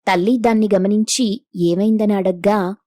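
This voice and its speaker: A woman's voice: medium pitch, fairly even intonation, moderate pace, dark tone, dry recording, clean studio sound. A woman storyteller reading a scripted moral story as narrator.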